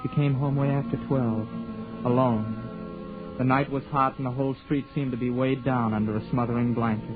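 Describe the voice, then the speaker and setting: A man playing a shy, halting young man. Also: medium pitch, steady tone, music in the background, natural voice. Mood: wistful. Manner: halting, stammering, soft-spoken. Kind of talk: 1940s radio drama with narration. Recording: tinny 1940s radio broadcast.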